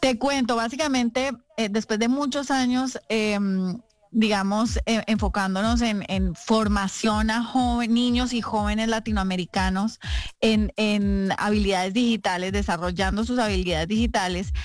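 A woman raised in Costa Rica, speaking as an interview guest in a measured way, with many fillers. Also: medium pitch, steady tone. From Colombia, raised in Costa Rica. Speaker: guest, company CEO. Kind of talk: radio interview. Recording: music playing faintly underneath.

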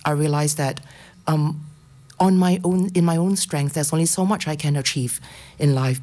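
Middle-aged woman, Singaporean accent, low dark voice, sharing a personal testimony to listeners. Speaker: guest speaker.

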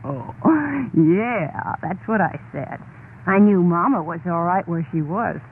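An actress playing a haunted, unhinged wife confessing to murder in an old radio horror play, intense and halting, insistently repeating herself. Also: female voice, on the low side, breathy edge, exaggerated voice. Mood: gloating, unhinged. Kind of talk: old-time radio horror monologue, dramatic first-person narration. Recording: tinny, band-limited old radio recording, faint noise.

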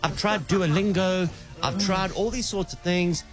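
A middle-aged man with a South African accent, hosting breakfast radio and telling stories in an animated way with lots of restarts, speaking into a studio mic. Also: high-pitched, unhurried, soft background music. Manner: animated storyteller with frequent restarts. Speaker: radio show host, prank caller. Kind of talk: casual breakfast radio banter. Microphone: studio microphone.

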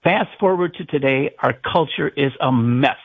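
A man's voice: medium pitch, moderate pace, husky. An older man from the United States calling a radio show, giving a prepared comment in an earnest, formal way. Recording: tinny narrow phone line.

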